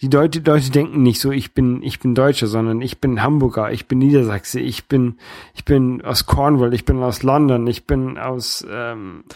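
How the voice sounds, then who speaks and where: A man with a light North German accent speaks in a casual discussion style, recorded dry and close. His delivery is halting, doubling back on words and phrases as he looks for the next one. His pitch sits in a middle male range. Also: darkish tone, dry recording.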